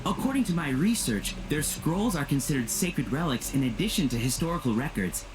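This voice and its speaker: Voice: medium pitch, sing-song, fairly quick, over music, lightly acted, poor audio. An actor playing an earnest, mild-mannered research assistant in a video game, explaining things in a scholarly way.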